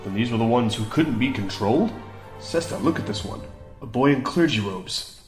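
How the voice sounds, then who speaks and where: A man playing a stubborn, commanding adventurer in a fantasy audio drama, speaking gravely. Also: medium pitch, lively intonation, normal speed, darkish tone, natural voice. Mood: grave, resolute. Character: determined, commanding male adventurer in a fantasy party. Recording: echoey reverberant hall with music behind.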